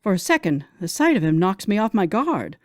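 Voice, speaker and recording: A woman reading a first-person romance audiobook as a narrator, in a dramatic, expressive manner. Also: medium pitch, fairly quick, no echo, studio quality.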